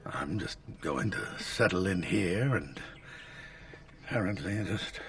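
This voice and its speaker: Elderly male tutor for a fantasy game: measured, husky, expressive, easily exasperated, slightly distant. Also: low voice, exaggerated voice. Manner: measured, lecturing, formal. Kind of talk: video game voice acting.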